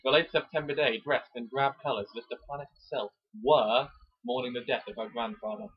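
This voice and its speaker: A man's voice: mid-range voice, animated, brisk, husky. A man giving a theatrical, mock-dramatic amateur reading of a romance novel, breaking off for joking asides. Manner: jokey, theatrical, breaks off to comment. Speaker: amateur reader and commentator. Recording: dull, muffled lo-fi recording.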